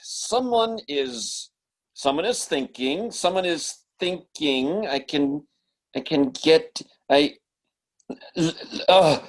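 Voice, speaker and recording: High-pitched, halting theatrical mind reader played by a man. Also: lively intonation, poor audio. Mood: flustered, halting. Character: agitated nightclub mind reader, showy on stage, urgent offstage. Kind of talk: old-time radio drama acting.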